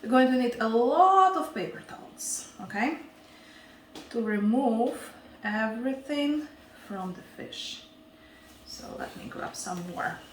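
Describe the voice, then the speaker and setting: A woman's voice: a little high, animated, slow, reverberant, recorded from a distance, a little hiss or hum, poor audio. A woman with a Ukrainian accent hosting a casual cooking demonstration, chatty and often checking in with her viewers. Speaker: cooking show host.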